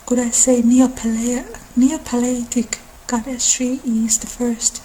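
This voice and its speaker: Female ASMR host, fairly high and even in pitch, halting delivery, some background noise. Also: rough recording. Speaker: video channel host. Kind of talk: ASMR-style informal mythology talk.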